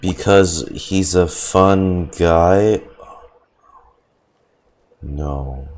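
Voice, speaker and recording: A man doing casual live commentary on a game, halting, deadpan and often swearing. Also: low voice. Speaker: streamer reacting to a game. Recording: game music behind. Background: video game music and dialogue.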